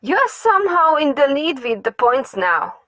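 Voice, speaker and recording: A woman's voice: high voice, sing-song, normal speed, a bit bright, no room sound. A woman voicing a casual, teasing teenage brother in an amateur comic dub. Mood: accusing.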